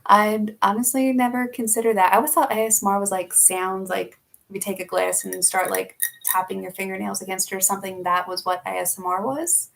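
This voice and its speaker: A woman's voice: medium pitch, fairly even intonation, brisk, creaky, few pauses, fairly bright tone, a little hiss or hum. A young woman from Philadelphia with a Philly accent, chatting casually and laughing often as she answers viewer questions in a live stream. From Philadelphia, USA.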